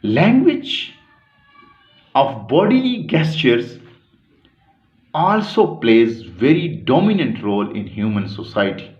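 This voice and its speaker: A man from Pakistan, giving an academic lecture in a slow, deliberate way, pausing often and correcting himself. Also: medium pitch, sing-song, darkish tone. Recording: echoey room, dull lo-fi sound.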